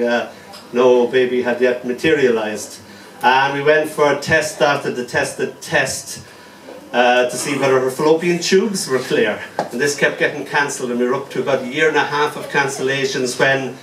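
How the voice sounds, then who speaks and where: A man from Ireland reading his poems to a live audience in a small cafe, with joking asides between slow, tender recitation. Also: mid-range voice, a bit bright. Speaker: poet reading at an open mic. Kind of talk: poetry reading with banter. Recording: roomy, distant, faint noise.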